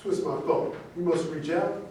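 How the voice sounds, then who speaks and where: A man's voice: fairly high pitch, moderate pace, darkish tone. A man acting in a staged reading of a play, reading his lines from a script. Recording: echoey room, distant mic, faint noise.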